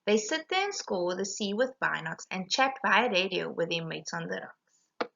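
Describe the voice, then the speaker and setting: A woman reading a rhyming children's storybook aloud in an animated, emphatic way. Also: medium pitch, normal speed, gravelly, muffled recording. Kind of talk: expressive rhyming storybook narration.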